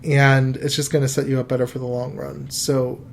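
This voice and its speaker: A man in his early thirties hosts a casual money podcast at a middle pitch. He talks in a loose, rambling way and keeps restarting his sentences. A faint hiss sits behind his voice. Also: brisk, vocal fry. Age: 30s. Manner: relaxed, rambling, with frequent fillers and restarts. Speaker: podcast host giving financial advice.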